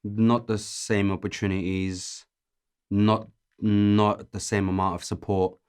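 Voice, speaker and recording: A man in his late twenties, originally from Azerbaijan, chatting casually as a podcast guest, laid-back and laughing often, with many pauses and ums. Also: lowish voice, little pitch movement, a little mellow, clear, resonant tone. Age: 20s. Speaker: podcast guest, music producer. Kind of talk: casual interview chat.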